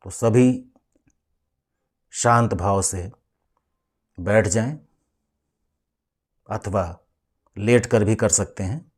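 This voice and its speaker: A man leading a guided meditation as a spiritual teacher, speaking in a measured, instructive way with deliberate pauses. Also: on the low side, husky.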